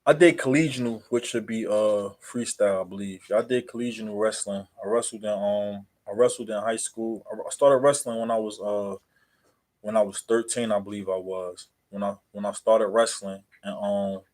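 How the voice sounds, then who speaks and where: A man with an American accent chatting casually as a livestream host, in a halting, repetitive, rambling way full of fillers. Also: on the low side, fairly even intonation, darkish tone. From New Jersey, USA.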